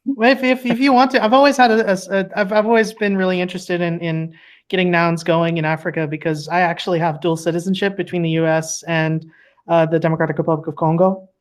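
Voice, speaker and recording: A woman's voice: fairly low pitch, fairly even intonation, fast talker. Giggly woman telling a story. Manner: rambling with restarts, giggly. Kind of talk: casual live audio chat.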